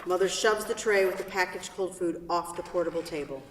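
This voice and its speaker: A woman serves as narrator and host at a play reading. Her voice is a little low and is picked up from a distance in a very echoey hall. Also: fairly quick, slight background noise. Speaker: stage-directions narrator and event host. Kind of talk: scripted narration and hosting. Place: theatre hall.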